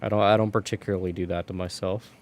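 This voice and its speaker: Low gravelly man chatting on stream. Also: flat delivery, fast. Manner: rambling, restarts words often. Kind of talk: casual chat while gaming.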